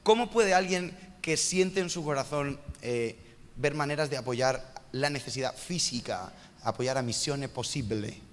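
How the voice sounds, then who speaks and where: A man's voice: mid-range voice, unhurried, fairly bright tone, slight background noise. Joking man interviewing in a church hall. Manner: jokey, chatty, self-mocking asides. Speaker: church host interviewing a missionary. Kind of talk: casual church interview on stage. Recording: long church hall reverb.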